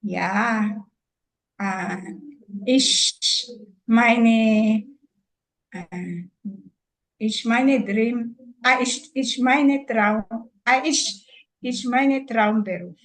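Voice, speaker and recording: Slow, halting Filipino woman in her sixties. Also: a little high, poor audio. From Philippines. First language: Tagalog. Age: 60s. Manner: halting, hesitant learner with many fillers. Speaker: student. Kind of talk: German class self-introduction.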